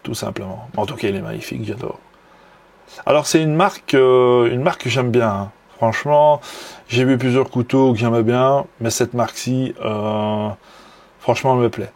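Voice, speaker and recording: A man's voice: medium pitch, moderate pace, vocal fry, a little mellow. A man from Belgium with a Belgian French accent, showing off a knife in a casual video review, full of fillers and restarts and admiring enthusiasm. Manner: hesitant, many fillers and restarts. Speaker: knife hobbyist vlogger.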